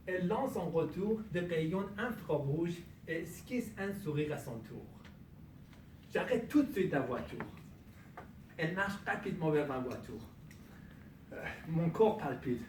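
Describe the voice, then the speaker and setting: A man's voice: on the high side, a little mellow, slight background noise. Man telling a comic story in a hall. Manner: comic storytelling with punchline pauses. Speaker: contest speaker. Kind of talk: humorous speech. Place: club meeting room. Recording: echoey room, from far off. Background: audience laughter.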